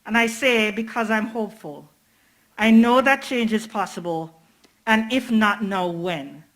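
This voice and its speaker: A woman's voice: mid-range voice, a little slow, fairly bright tone, low-quality recording. A woman with a Jamaican accent moderating a panel, asking direct questions.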